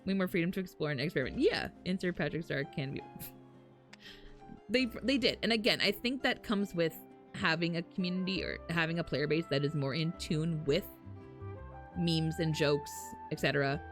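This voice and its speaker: A woman's voice: low-pitched, normal speed. A woman livestreaming games, chatting casually and animatedly with her viewers and swearing now and then. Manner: animated, swears casually, chuckles while talking. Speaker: game livestreamer. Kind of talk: casual chat with stream viewers. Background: faint game music and character voice lines.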